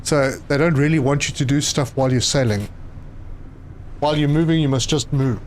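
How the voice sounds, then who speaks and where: A man, live streaming a game and chatting with viewers, often pausing with fillers. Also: a little high, steady tone, a little hiss or hum. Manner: chatty, reads chat aloud, hesitant with fillers. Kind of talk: casual live stream commentary.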